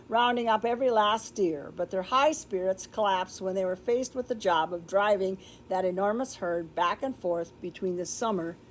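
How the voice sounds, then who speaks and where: An older woman reading a children's story aloud in a deliberate, expressive way, with explanatory asides. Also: medium pitch, low-quality recording. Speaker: storybook reader. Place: indoor room with books, like a classroom or library.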